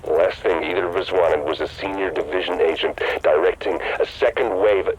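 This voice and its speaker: A man voicing a calm, calculating rogue leader who speaks persuasively and matter-of-factly in a game cutscene. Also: low-pitched, moderate pace, close to an everyday voice. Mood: cool, persuasive. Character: scheming male rogue leader, cool and calculating. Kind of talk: video game cutscene dialogue. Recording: game audio with noisy ambience.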